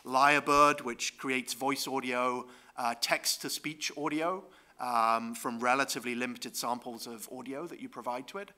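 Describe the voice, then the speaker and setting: Male conference presenter, mid pitch, sharp bright voice, explanatory, lots of ums. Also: steady tone, normal speed. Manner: explanatory, with frequent ums and tag questions. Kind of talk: conference talk with slides.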